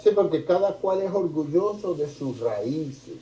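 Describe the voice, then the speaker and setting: A man's voice: fairly high pitch, animated, measured pace, crowd noise. A man in a video-call debate who makes his points through examples and personal anecdotes. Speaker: debate participant. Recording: distant, dull, roomy video-call audio.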